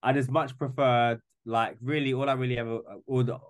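A man's voice: mid-range voice, steady tone, a little fast, clear, resonant tone. A man in his twenties in casual podcast chat, rambling and often stumbling over repeated words as he starts a thought. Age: 20s. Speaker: podcast guest.

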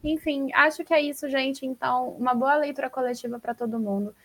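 High-voiced Brazilian woman hosting a podcast. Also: steady tone, a little fast, low-quality recording. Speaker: podcast host. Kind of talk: casual chat about books.

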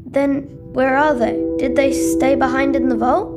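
A woman's voice: sits high, level tone, a little slow, loud music behind the voice. A woman playing an anxious, trusting young boy in a sci-fi audio drama, full of plaintive questions. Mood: anxious, insistent.

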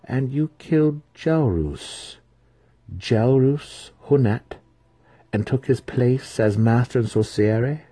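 A man voicing a female dark elf matron priestess, haughty, scheming and commanding, in an audiobook. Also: medium pitch, lively intonation, moderate pace, husky, dry recording, voice pushed up. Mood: suspicious, menacing.

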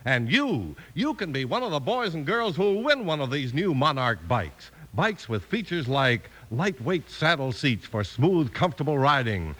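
High-pitched male vintage radio announcer. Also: fairly bright tone. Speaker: announcer and narrator. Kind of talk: 1950s radio announcing and scripted narration. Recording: old-time radio recording. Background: music.

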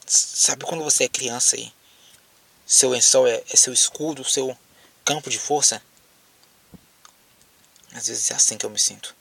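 A man acting in an audio drama as a lonely, frightened apocalypse survivor with a Brazilian accent, speaking haltingly as if on a phone call. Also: mid-range voice, fairly even intonation, vocal fry, a bit bright, slightly rough, distant mic, heavily acted. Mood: haunted, reflective. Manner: hesitant, halting, repeats words.

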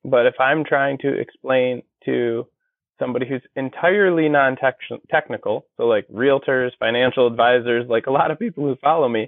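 A man with a gravelly, fry-edged voice, heard over a thin, phone-like line with no room sound. He explains technical ideas patiently and reaches for everyday comparisons. His delivery is casual and conversational. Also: mid-range voice, moderate pace, dry recording. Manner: explanatory, builds plain analogies. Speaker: guest, engineer and copywriter. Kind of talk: conversational interview. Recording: dry tinny phone-like line.

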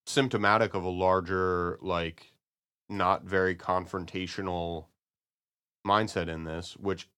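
A man co-hosting a podcast, discussing and reviewing in a casual, halting, analytical way. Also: sits low, a bit bright, clear, resonant tone. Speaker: podcast co-host.

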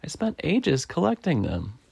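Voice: mid-range voice, wildly swooping pitch, measured pace, gravelly, a little mellow, slightly rough, voice pushed up, full character voice. A reader voicing an indignant, protesting woodpecker in a picture book. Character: indignant woodpecker defending its collected leaves. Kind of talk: picture-book read-aloud.

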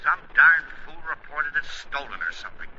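A man's voice: fairly high pitch, expressive, fairly quick, sharp tone. An actor playing an irritated, harried middle-aged husband and father, impatient, in 1940s radio comedy style. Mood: exasperated. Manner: exasperated, impatient. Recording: muffled 1940s radio recording, faint noise.

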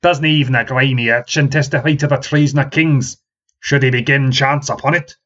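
A man's voice: on the high side, big pitch swings, normal speed, husky, muffled recording, voice pushed up. A man voicing a boastful, stubborn old tavern storyteller who says ye, me and aye and holds forth grandly. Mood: proclaiming. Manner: dialect speech with ye, me and aye, proclaiming grandly.

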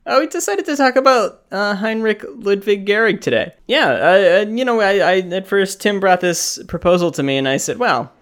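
A man's voice: high voice, expressive, moderate pace, hardly any pauses, a bit bright, smooth. A man co-hosting a podcast, talking in a wry, joking way and laughing often. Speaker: podcast co-host. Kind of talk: casual chat.

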